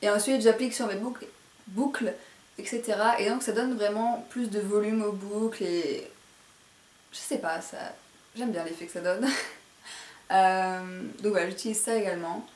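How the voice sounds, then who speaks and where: A woman's voice: mid-range voice, steady tone, normal speed, a little mellow. A woman presenting a casual, chatty hair tutorial to camera, speaking a little carefully and self-consciously. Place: indoor room at home. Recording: roomy echo, faint background noise.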